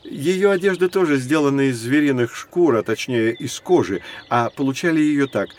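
An actor playing a learned professor, explaining in a deliberate storytelling way in an educational audio drama. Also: male voice, medium pitch, lively intonation, darkish tone, a little hiss or hum, close to an everyday voice. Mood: patient, instructive. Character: learned male professor guiding children through prehistory.

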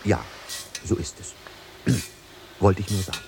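Ein Schauspieler spielt im Hörspiel einen verliebten Seekapitän, der zärtlich, verträumt und stockend spricht. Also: male voice, low voice, lively intonation, slow pace, vocal fry, background noise, exaggerated voice. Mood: shy, tender. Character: love-struck sea captain, twenty years at sea. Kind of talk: radio drama acting.

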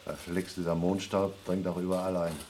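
A grumpy astronaut, gruff and sarcastic, cursing at the dust. The voice sits on the low side, and a faint hiss lies under the radio play. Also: male voice, flat delivery, normal speed, darkish tone. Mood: weary. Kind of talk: 1970s German radio play acting.

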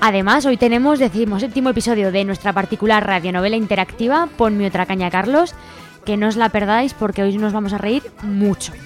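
A woman's voice: mid-range voice, fairly quick. Spanish woman hosting radio over music. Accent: Spain. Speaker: radio show host. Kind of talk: presenting a community radio show. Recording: music behind the voice.

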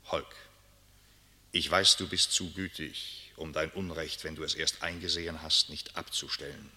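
A brooding nobleman with a deep voice and diction. He is reserved and weighs his words with a troubled conscience, in the literary manner of a radio play. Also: flat delivery, moderate pace, bright, forceful tone, full character voice. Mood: grave, reproachful reading. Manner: reads a letter aloud with muttered asides. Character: aristocratic married gentleman, brooding, reserved, conscience-pricked by a letter. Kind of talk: German literary radio drama acting.

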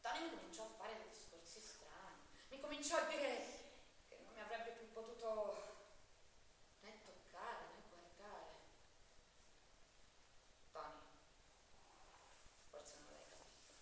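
An actress playing a naive, volatile Sicilian mafia bride addressing a judge in a stage drama, with a Sicilian accent and dramatic swings between confiding and outcry. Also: female voice, medium pitch, lively intonation, measured pace, pauses often, close to an everyday voice. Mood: excited. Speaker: stage actor. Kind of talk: theatrical monologue and dialogue. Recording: very echoey hall, distant mic, faint noise.

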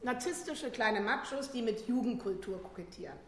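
A woman's voice: on the high side, moderate pace, close to an everyday voice. A woman playing a critical, dismissive young student in a radio drama. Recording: echoey hall, faint noise.

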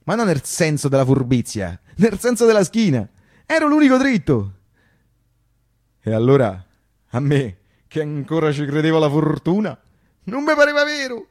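A man with a Roman accent playing a naive, animated working-class character recalling his wartime boyhood in a colloquial, emphatic radio monologue. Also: a little high, normal speed, pauses often. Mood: vivid recollection. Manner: emphatic, repetitive, conversational.

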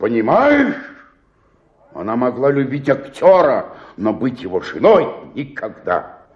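An actor playing a despairing, self-pitying old provincial actor in a radio play, declaiming and then sinking into murmurs. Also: male voice, mid-range voice, wildly swooping pitch, a little slow, lo-fi sound. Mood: bitter, disillusioned. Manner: declamatory, swinging between outburst and murmur. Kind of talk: radio play dramatic monologue.

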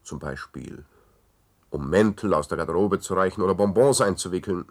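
A man's voice: low voice, moderate pace. A man playing a smug, coolly obsessed scientist in a radio science-fiction drama, urbane and lecturing. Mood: smug, boastful. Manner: urbane, self-satisfied, lecturing.

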